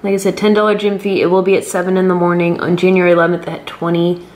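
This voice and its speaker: A woman in her thirties with an American accent talks in a casual fitness vlog style. Her voice sits a little low. She is in an echoey home kitchen, with light noise behind her. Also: flat delivery, quick pace, a little mellow. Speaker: fitness vlogger. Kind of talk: casual vlog chat and explaining. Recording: roomy kitchen with some background noise.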